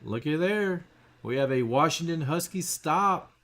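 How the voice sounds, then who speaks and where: A man's voice: a little high, measured pace. Chatty man calling football plays live. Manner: chatty, talks straight to viewers, rises excitedly on big plays. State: rough voice, clearing his throat. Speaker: livestream play-by-play host. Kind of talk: casual live play-by-play commentary.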